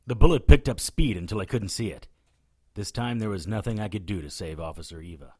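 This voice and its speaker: An actor plays a wry witch hunter telling his own adventure in an audio drama. His voice sits fairly low, dry and sardonic, with a cocky streak. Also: brisk, noisy background, lightly acted. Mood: grave narrating. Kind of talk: first-person audio drama narration and dialogue.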